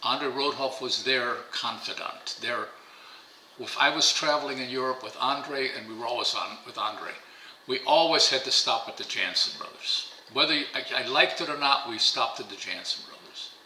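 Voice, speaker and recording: A man's voice: medium pitch, normal speed, bright, forceful tone, roomy sound, slight background noise, rough recording. An older man whose first language is German, telling stories in a casual interview at a dining table at home, rambling and repeating words. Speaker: interviewee, pigeon fancier. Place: bright home dining room, indoors.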